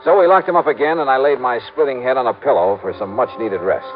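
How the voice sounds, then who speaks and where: A man's voice: mid-range voice, fast, lightly acted. A man playing a tough, wry insurance investigator in 1950s radio detective style, clipped and hard-boiled. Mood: wry narration. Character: tough, wry male insurance investigator narrating his case. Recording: tinny old radio broadcast with music.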